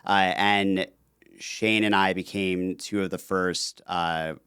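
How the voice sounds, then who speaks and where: Low, smooth-voiced man telling a story. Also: slow pace, no room sound, clean studio sound. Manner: relaxed storytelling with frequent fillers. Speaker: podcast guest, author. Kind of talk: casual interview conversation.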